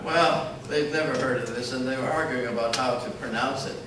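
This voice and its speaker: A man's voice: medium pitch, brisk. A man acting as master of ceremonies, telling a joke and introducing the next speaker in a storytelling way. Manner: storytelling joker, delivers a punchline. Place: large conference hall. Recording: echoey hall, far from the mic, noisy.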